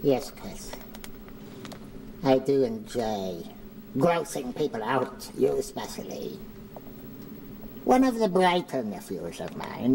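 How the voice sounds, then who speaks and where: A man's voice: medium pitch, a little mellow, slight background noise, low-quality recording. A man voicing a small, pompous old uncle who calls himself a mastermind, grandiose and condescending. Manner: grandiose, condescending, slow and deliberate.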